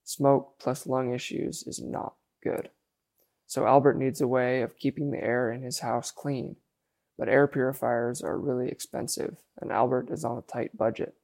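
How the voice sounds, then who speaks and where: Flat-voiced male news narrator. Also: medium pitch, gravelly. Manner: even, measured delivery. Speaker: reporter.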